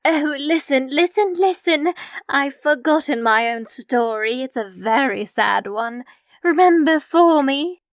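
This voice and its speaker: Actress as high, theatrical dream-play figure. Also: female voice, lively intonation, measured pace, no room sound, studio quality. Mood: wistful.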